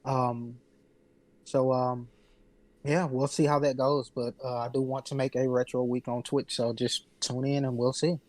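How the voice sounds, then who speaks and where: A man with a mid-pitched voice, recorded dry and close. He chats casually on a panel in short, halting phrases with frequent pauses. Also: flat delivery, darkish tone, dry recording, low-quality recording. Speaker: podcast panelist, music producer. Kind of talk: casual chat.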